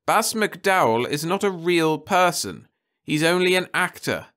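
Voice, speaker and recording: A man's voice: on the high side, animated, unhurried, fairly bright tone, pitched above the performer's own voice. A firm, insistent middle-aged mother, voiced by a man in a read-aloud story. She speaks in a raised voice that rises and falls with feeling, earnest and unbending.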